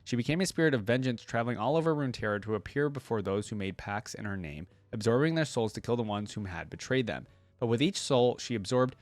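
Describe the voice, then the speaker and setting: A man's voice: mid-range voice, no room sound. A man hosting a reaction video, reading a wiki aloud and adding casual asides in his own words.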